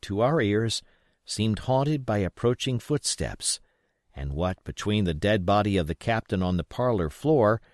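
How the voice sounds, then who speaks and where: Male narrator, adventure novel. Fairly low pitch, highly varied delivery, dry recording. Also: darkish tone, dry recording. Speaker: audiobook reader. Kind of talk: expressive scripted narration of a classic adventure novel.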